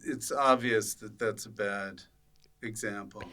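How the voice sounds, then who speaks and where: A man's voice: fairly low pitch, steady tone, measured pace, sharp tone. A man hosting a podcast in a casual, comedic interview style, joking and interjecting as he chats. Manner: joking, interjecting, riffing with mock voices. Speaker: podcast host.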